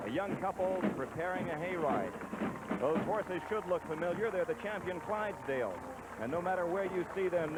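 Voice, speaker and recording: Male parade commentator, higher voice, playful asides, noisy outdoor broadcast. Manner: jokey, folksy asides.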